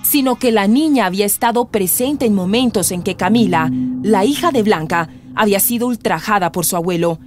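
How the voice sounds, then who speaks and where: A woman's voice: mid-range voice, brisk, over music. A woman with a Colombian accent narrating a scripted radio drama.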